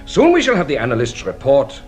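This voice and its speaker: An actor playing a playfully sly police inspector in a British radio drama, speaking in a courtly, teasing, deliberate way. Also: male voice, medium pitch, wildly swooping pitch, soft background music.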